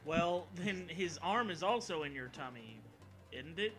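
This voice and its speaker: Male co-host, forceful bright voice, sarcastic casual riffing over quiet music. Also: a little high, expressive, measured pace. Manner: sarcastic, sweary. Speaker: co-host commenting on a story. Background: background music.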